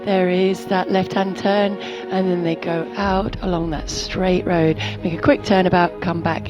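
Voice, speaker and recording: A woman commentates a road race live, her voice sitting on the low side. She talks through the course and the contenders with steady, explanatory analysis over a music bed. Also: highs cut off.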